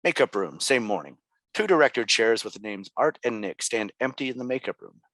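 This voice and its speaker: A man's voice: mid-range voice, animated, a little fast, a bit bright, no echo. A man reading screenplay scene directions aloud at a table read, as the narrator. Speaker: reader of stage directions.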